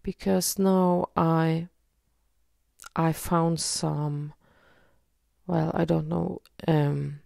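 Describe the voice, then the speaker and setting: A woman from Norway with a Norwegian accent, leading a guided energy healing session in a slow, soothing way with many pauses and fillers. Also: sits low, little pitch movement, gravelly, mellow, dry recording, clean studio sound. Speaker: energy healer.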